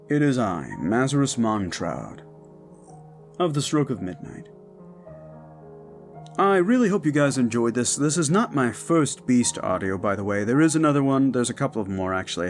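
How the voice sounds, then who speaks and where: A man who makes audio roleplays, speaking in an upbeat, informal way as he gives a casual announcement to his followers. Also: mid-range voice.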